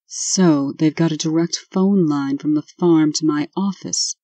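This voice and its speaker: A woman's voice: low-pitched, warm tone, no room sound. A woman voicing a stern, authoritative administrator in a comic dub. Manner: brisk, authoritative.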